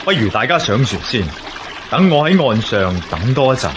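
An actor with a Hong Kong Cantonese accent playing an earnest, emphatic rebel officer in a historical radio drama. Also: male voice, mid-range voice, big pitch swings, moderate pace, noisy background, lightly acted. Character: earnest male rebel officer of ancient China.

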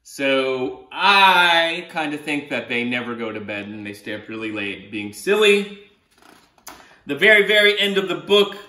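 Guy in his 30s, kids book author chatting, bright voice, bit echoey. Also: medium pitch. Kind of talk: animated storytelling and chat to children.

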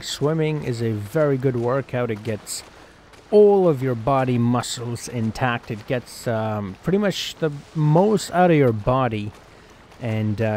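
A man with a mid-pitched voice narrates sports game play as if he were the hopeful athlete himself. He talks his way through the action in the first person. Ambient noise is clearly audible behind his voice. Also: a little mellow. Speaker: gaming video host.

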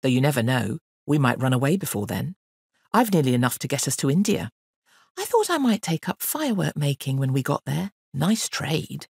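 A woman plays a young scamp from a children's story. He is breezy, glib and falsely innocent, with very lively pitch movement and a close, dry sound. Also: moderate pace, creaky, breathy edge, no echo, exaggerated voice. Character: cheeky, innocent-sounding scheming young boy elephant handler.

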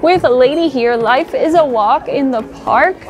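A woman's voice: sits high, normal speed. A woman giving a casual, chatty store tour, thinking aloud and gushing over items as she browses. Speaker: shopping vlogger. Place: discount store.

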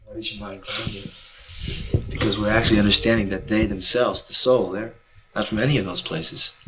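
A young man speaking earnestly and calmly about selling books to travelers, with faint hiss from old film audio. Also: lowish voice, a little fast, rough recording. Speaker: book distributor. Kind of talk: reflective commentary over footage.

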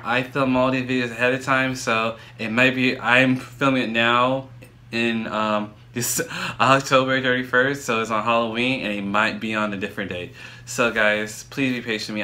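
A man's voice: medium pitch, pushed and bright. A man, chatting casually and enthusiastically as host of a reaction video. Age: 20s. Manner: enthusiastic, exclamatory. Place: small room indoors with drawings on the wall.

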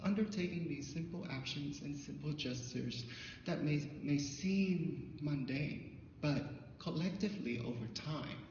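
A middle-aged man whose first language is Thai gives a symposium talk through a handheld mic, recorded from far back in a large hall. The sound is roomy with a long reverb tail. The audio is dull and muffled, with a faint hiss underneath, and he speaks haltingly, restarting often. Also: fairly high pitch, a little mellow. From Thailand. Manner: halting, many fillers and restarts. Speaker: artist presenter. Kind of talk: symposium talk, personal storytelling. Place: dim hall with projector screen. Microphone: handheld microphone, filmed from afar.

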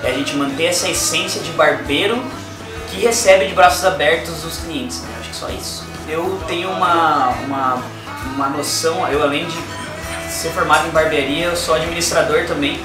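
A man's voice: fairly high pitch, moderate pace. A man, giving an earnest personal testimonial to camera as a barbershop owner, repeating phrases for emphasis. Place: indoors, small barbershop. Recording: roomy, distant mic, clear background music. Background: music.

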